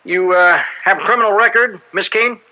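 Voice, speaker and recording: High, sharp American defense lawyer. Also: male voice, moderate pace, low-quality recording. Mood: probing. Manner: formal, pointed, oratorical. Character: smug, slick male defense attorney. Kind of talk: old-time radio courtroom drama acting.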